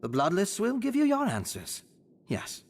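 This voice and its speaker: Theatrical, sly guild broker. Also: male voice, sits high, wildly swooping pitch, normal speed, vocal fry, full character voice. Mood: coolly persuasive. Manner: glib, teasing, theatrical. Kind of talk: dramatic video game voice acting.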